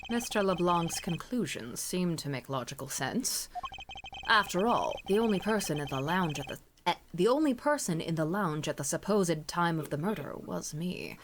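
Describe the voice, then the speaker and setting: Woman voicing a calm, courteous murder suspect. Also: on the low side, normal speed, background noise. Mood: calm, formal.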